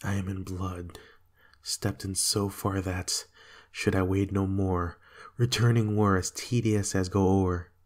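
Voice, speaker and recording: A man playing a guilt-haunted, fearful and raging king in a Shakespearean dramatic reading, with a husky voice. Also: deep voice, level tone, slow pace. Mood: grim, brooding. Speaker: amateur reader in a dramatic reading.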